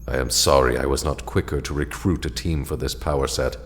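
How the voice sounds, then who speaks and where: A man's voice: rumbling low, steady tone, a little fast. A man voicing a solemn, ancient alien mentor in an audio drama, grave and formal. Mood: grave regret.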